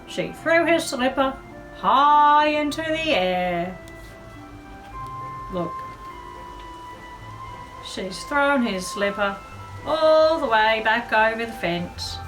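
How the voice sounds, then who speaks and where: Female children's storyteller: highish, animated, picture-book read-aloud with chatty asides, music underneath. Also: very slow, pauses often, poor audio. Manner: chatty asides and questions to young listeners.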